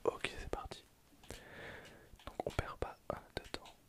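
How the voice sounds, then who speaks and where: A man whispering casual, breathy ASMR commentary over gameplay in an informal way. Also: takes it slowly. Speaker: gaming video creator.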